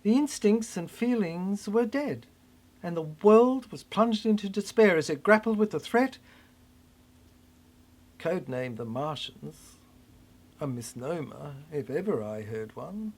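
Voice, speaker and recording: A narrator performing a theatrical spoken-word monologue in an emphatic way, with halting repeats. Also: mid-range voice, expressive, a little mellow. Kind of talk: dramatic spoken-word narration of a cryptic science-fiction monologue.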